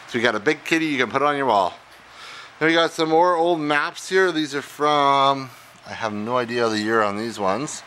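A man, an auctioneer chatting casually and excitably as he walks through lots in a warehouse, recorded on a handheld camera mic. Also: mid-range voice, slight background noise.

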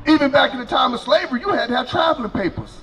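A middle-aged man preaching loudly on a city sidewalk outdoors into a handheld mic, questioning people as they pass. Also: very high-pitched, lively intonation, rapid-fire, a faint crowd. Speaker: street preacher.

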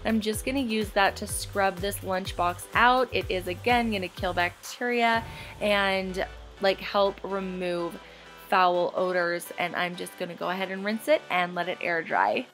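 Woman, thirties, bubbly home cleaning vlog voice-over, soft music behind. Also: medium pitch, fairly even intonation, measured pace. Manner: bubbly, chatty, with light laughs. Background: soft background music.

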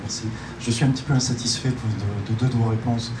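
A man with a mid-pitched voice speaks from the audience of a meetup. He questions in a formal, probing way. The room echoes clearly around him. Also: steady tone, a little fast, noisy background. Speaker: audience member asking questions. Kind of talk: spontaneous questioning.

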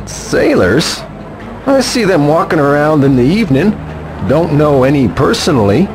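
An actor playing a chatty, helpful elderly shopkeeper in a video game, with a folksy, gossipy manner. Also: male voice, on the high side, big pitch swings, moderate pace, music in the background, poor audio. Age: older. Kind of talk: English video game voice acting.

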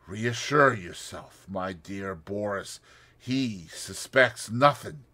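A man voicing a calm, scornful scheming society woman who speaks slowly and in a measured way. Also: medium pitch, voice pushed up, exaggerated voice.

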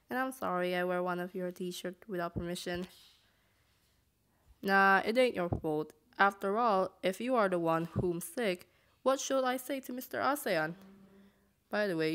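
A woman voicing gentle, caring, shyly romantic young characters in a comic dub, earnest and halting. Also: mid-range voice, lightly acted.

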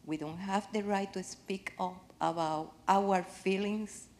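Cuban lady speaking english slow and earnest, searching for words, audience mic in a theater. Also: mid-range voice, fairly even intonation, darkish tone. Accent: Cuban Spanish. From Cuba. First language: Spanish. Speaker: audience member asking a question. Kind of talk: impassioned personal testimony. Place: large theater with live audience.

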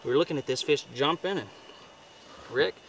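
A man's voice: a little high, moderate pace, muffled recording. Chatty young man on a lake. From northern Ontario. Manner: chatty, upbeat, fillers like right and you know. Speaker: fishing guide showing a catch. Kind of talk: casual outdoor chat to camera. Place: small boat on a lake outdoors. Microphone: camera held by a companion a few feet away. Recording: distant outdoor camera audio with noise.

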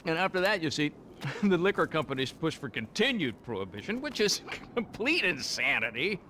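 A man's voice: a little high, big pitch swings, moderate pace, pushed and bright. A man acting in a video game cutscene as a zealous, rambling stoner activist who campaigns for legalization in an earnest, grandiose way. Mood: eager, earnest. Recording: game scene with street noise.

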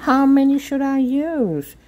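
Earnest storybook little girl played by a woman, higher-pitched, formal and persuasive, unhurried. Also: animated, warm tone, highs cut off. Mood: puzzled. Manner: formal, presentational, inquisitive.

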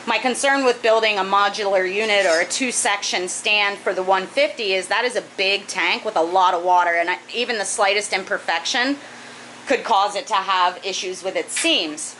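A woman in her thirties chats through a building project in a basement room, her voice mid-pitched and easygoing. She explains each step plainly, dropping in the odd "um" and "uh". A faint noise sits under her voice. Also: moderate pace, sharp tone, low-quality recording. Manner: chatty, explains step by step with fillers. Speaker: aquarium hobbyist vlogger. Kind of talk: casual explanatory narration. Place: basement fish room, indoors.

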